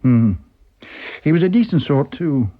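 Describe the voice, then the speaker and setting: A man's voice: fairly high pitch, very animated, unhurried, phone-quality sound, pitched above the performer's own voice. A man voicing a wry, gossiping male journalist with an offhand, colloquial manner in a story reading. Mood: amused gossip.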